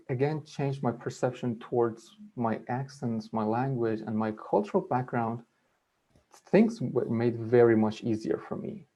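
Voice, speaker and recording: A man's voice: mid-range voice, warm tone. Earnest man with a Persian-Kurdish accent. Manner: earnest, halting with frequent restarts. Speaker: webinar speaker. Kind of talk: personal talk at a webinar. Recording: dull lo-fi webinar audio.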